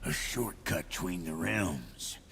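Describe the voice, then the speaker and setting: An actor playing a brash, foul-mouthed dwarf shopkeeper with a crude, boastful manner and a raspy voice. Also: male voice, deep voice, lively intonation, slow, fairly bright tone, long reverb tail, slight background noise, full character voice. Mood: instructing, warning.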